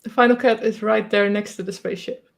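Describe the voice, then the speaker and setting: A woman's voice: mid-range voice, steady tone, fairly quick, creaky. A woman from the Netherlands, a native Dutch speaker, chatting hesitantly and playfully while playing her game. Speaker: guest game developer. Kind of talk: casual chat while playing.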